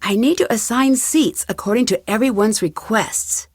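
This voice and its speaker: A woman playing a curious, determined young amateur detective in an adventure game, thinking aloud in clearly enunciated remarks. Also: fairly high pitch, lively intonation, moderate pace, dry recording, studio quality.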